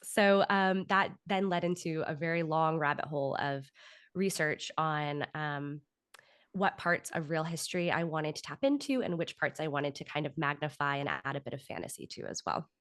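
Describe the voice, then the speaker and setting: A woman's voice: sits low, moderate pace, a bit bright, clean tone. A woman in her thirties speaking as an interview guest, giving articulate, reflective answers at length in a conversational style. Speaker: interview guest, author and actor.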